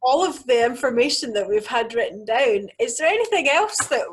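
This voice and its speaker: A woman's voice: fairly high pitch, expressive, a little fast, husky. A woman, a healing practitioner, chatting with her co-host on a video call in an easygoing, friendly way. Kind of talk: casual chat to viewers.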